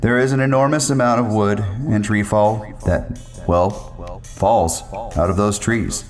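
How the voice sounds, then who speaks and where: A man with a fairly low voice narrates a first-person story. His phrasing is ornate and wordy, wandering as he goes, with faint music underneath. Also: fairly even intonation, measured pace, darkish tone.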